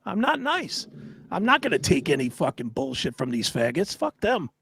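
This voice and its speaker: Crude argumentative guy on a talk show, gravelly high voice, swings all over. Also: wildly swooping pitch, normal speed, fairly bright tone, slight background noise. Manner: combative, crude, profane, defiant.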